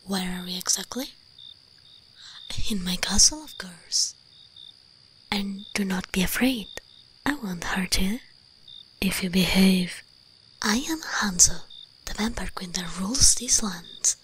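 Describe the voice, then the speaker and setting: A woman voicing an imperious, teasing vampire queen in a breathy ASMR roleplay whisper, commanding, condescending and seductive. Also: mid-range voice, takes it slowly, pauses often, a bit bright, a little hiss or hum, rough recording. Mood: haughty, reassuring.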